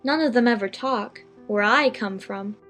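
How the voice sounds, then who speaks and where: Woman doing a polite curious young girl, high voice, bit anxious, kids audiobook, earnest questions. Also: normal speed, fairly bright tone, soft background music, close to an everyday voice. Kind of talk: dramatized children's audiobook acting.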